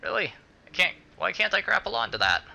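A man's voice: high voice, sing-song, fairly quick, vocal fry, sharp tone, a little hiss or hum. A man giving casual let's-play commentary, muttering to himself and swearing mildly as he plays. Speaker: gaming video host.

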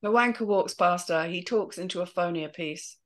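A woman's voice: lowish voice, moderate pace. A woman steadily reading the scene directions of a screenplay in a matter-of-fact way. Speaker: narrator reading stage directions.

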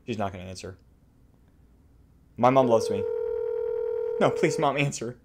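A man's voice: medium pitch, lively intonation, measured pace, heavy background noise. A young man giving casual, exaggerated, jokey reaction commentary with plenty of swearing, indoors at home. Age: young adult. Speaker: video creator reacting to game voice lines. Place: small indoor room at home.

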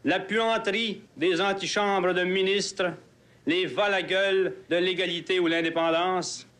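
A man with a Québécois accent reciting poetry as a deliberate, biting litany of colloquial epithets. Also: high-pitched, fairly even intonation, fairly bright tone.

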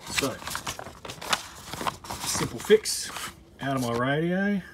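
A man's voice: medium pitch, expressive, takes it slowly. Man explaining casually over background noise. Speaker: boat owner giving a DIY walkthrough. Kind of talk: casual off-the-cuff tutorial. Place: on a boat. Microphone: handheld phone. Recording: handheld phone recording, clear ambient noise.

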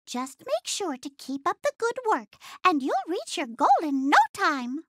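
A bright, sweet cartoon girl mouse, piping high and bouncing all over the scale. She sounds chirpy and encouraging, close and dry. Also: sing-song, a little slow, dry recording, full character voice.

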